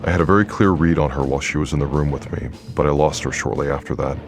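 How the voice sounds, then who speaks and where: An actor playing a stern, imperious immortal leader in an audio drama, grave and exasperated in manner. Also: male voice, extremely low pitch, fast talker, soft background music. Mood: grave, urgent. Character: stern, commanding male immortal leader with psychic senses.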